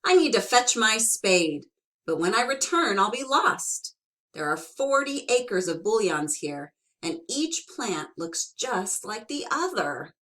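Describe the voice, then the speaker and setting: A woman's voice: mid-range voice, sing-song, takes it slowly, a bit bright, voice pushed up. Woman doing a cocky young farm lad. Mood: excited. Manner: eager and boastful.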